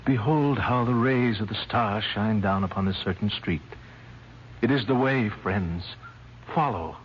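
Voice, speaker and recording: A man's voice: on the low side, a little slow, close to an everyday voice. An actor playing a devout, commanding wise man in an old-time radio nativity drama, speaking solemn, declamatory archaic English. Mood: reverent, commanding. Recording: noisy, muffled old radio recording.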